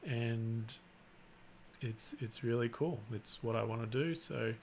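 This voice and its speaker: A man hosting a live stream, chatting casually in a flat, rambling way and often restarting his sentences. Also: mid-range voice, slow pace, a little hiss or hum. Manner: self-described monotone, rambling with restarts. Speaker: live-stream host and content creator.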